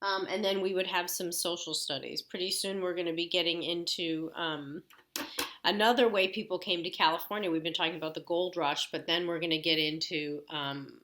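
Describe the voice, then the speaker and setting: A woman's voice: deep voice, sharp tone. A woman teacher casually leading a children's read-aloud lesson, explaining and checking in with questions. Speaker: elementary school teacher.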